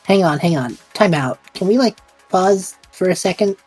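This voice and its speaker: Low, mellow, bewildered young man played by a woman. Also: lively intonation, normal speed, breathy edge, soft background music. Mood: confused. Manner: hesitant, casual, wry. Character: bewildered, supportive young man lost in another world. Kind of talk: audio drama acting.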